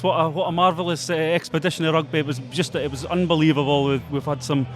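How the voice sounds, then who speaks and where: A man, effusive but halting, interviewed after a match as club president. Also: on the high side.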